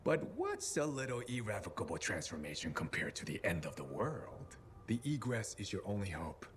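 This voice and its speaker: A smug, low-voiced, swooping theatrical scientist. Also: male voice, wildly swooping pitch, normal speed, fairly bright tone, slight background noise. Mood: slyly persuasive. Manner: mocking, patronizing, theatrical. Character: smug, theatrical captive male scientist, eccentric and slippery. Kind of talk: video game cutscene acting.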